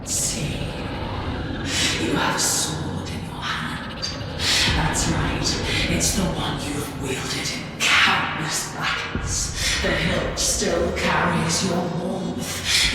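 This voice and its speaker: A sinister whisper echoing in the head. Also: medium pitch. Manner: hushed, coaxing, menacing. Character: sinister disembodied voice tempting violence. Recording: distant, cavernous echoing reverb. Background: loud music.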